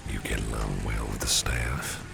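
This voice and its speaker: A man playing a protective, flirtatious boss with courtly manners in an ASMR roleplay, speaking in a gravelly, breathy half-whisper. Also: very deep voice, exaggerated voice. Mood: flirtatious, admiring. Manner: slow, suave, courtly phrasing. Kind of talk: ASMR roleplay spoken to the listener. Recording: steady rain and street ambience noise. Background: rain falling, passing vehicles.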